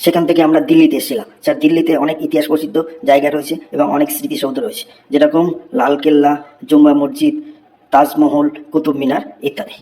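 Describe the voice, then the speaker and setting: A man's voice: on the high side, flat delivery, dark tone, natural voice, rough recording. A man voicing a schoolboy who recites a memorized essay in a cartoon. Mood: recitation. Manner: fast rote recitation.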